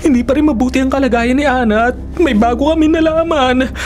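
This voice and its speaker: A man's voice: very high voice, brisk, a little mellow, soft background music. An actor playing an anxious young man in a radio drama, hesitant and fretful. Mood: worried. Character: anxious young man, worried loyal friend, unsettled by superstition.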